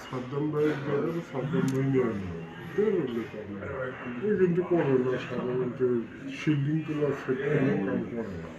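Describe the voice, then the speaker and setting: A man's voice: medium pitch, expressive, a little fast, background noise. A man chatting casually about work, as if among colleagues in a shop. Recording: muffled, echoey, loud crowd chatter.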